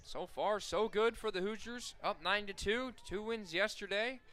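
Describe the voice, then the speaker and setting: Bright, crisp male play-by-play announcer. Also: sits high, moderate pace. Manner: rises sharply and loud on big plays, corrects himself often. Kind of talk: radio play-by-play commentary.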